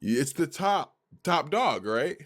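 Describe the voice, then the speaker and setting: Excitable streamer man, repeating himself. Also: fairly high pitch, takes it slowly. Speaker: live streamer. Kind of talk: excited live-stream chat.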